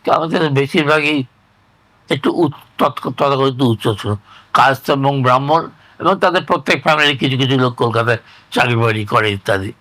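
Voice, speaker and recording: An elderly man in his eighties or older with a West Bengali accent, recalling village life in a halting, rambling, conversational way and often repeating phrases. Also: medium pitch, sharp tone, dry recording. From rural West Bengal, India. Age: 80s or older. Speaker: interviewee recounting oral history. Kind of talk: conversational reminiscence.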